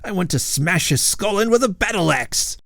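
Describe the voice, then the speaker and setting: A man's voice: sits high, expressive, normal speed, bright, forceful tone, no room sound, clean studio sound, pitched above the performer's own voice, heavily acted. A man voicing Sir Hugo, a blustering, chivalrous old male ghost knight who growls his lines. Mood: gruff. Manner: growling, gruff.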